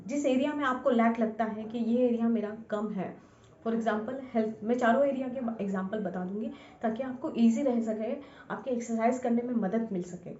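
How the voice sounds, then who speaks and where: A woman's voice: on the high side, moderate pace, mellow. A woman speaks as an instructor, coaching the listener directly in an informal way. She often repeats a phrase and drops in English words. The recording is dull and lo-fi, with plenty of room echo. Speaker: instructor, scientist. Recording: echoey room, dull lo-fi sound.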